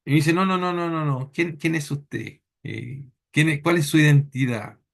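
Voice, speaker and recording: A man from Chile, preaching a sermon in church in an earnest, halting way with many repeated words. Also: a little high, vocal fry, a bit bright.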